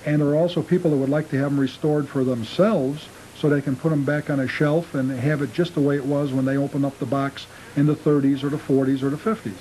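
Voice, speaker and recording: A man's voice: on the high side, steady tone, fast talker, warm tone, a little hiss or hum, poor audio. A man speaking as an interview guest, casually explaining his restoration craft on an old cable television tape. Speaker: guest, model train restorer.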